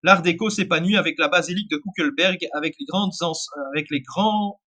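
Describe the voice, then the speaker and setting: A man's voice: high voice, a little fast, bright, forceful tone. A man reading an alternate-history narration, often stumbling and correcting himself. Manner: reads with frequent restarts and self-corrections.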